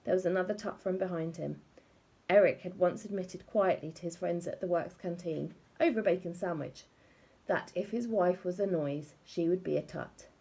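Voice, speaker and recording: A woman reading a children's story aloud in a wry, expressive way. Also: lowish voice, normal speed, gravelly, breathy edge, low-quality recording. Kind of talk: animated storybook read-aloud.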